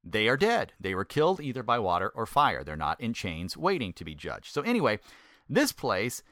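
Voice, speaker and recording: A middle-aged man, a former pastor, giving a conversational Bible teaching in a folksy, self-deprecating way and slowing down to stress key points. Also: lowish voice, huge pitch swings, a bit bright, no room sound, clean studio sound.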